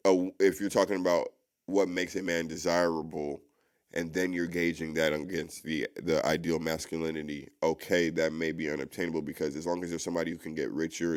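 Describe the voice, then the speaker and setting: A man's voice: sits low, a little mellow, clear, resonant tone. A man co-hosting a casual discussion, arguing his points in a rambling, emphatic way. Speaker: podcast co-host.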